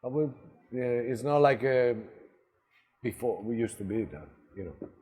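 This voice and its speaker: A middle-aged man from Italy with an Italian accent, a restaurant co-owner chatting casually and haltingly, with many fillers and a few laughs. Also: medium pitch, animated, darkish tone, slightly rough. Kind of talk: casual interview chat.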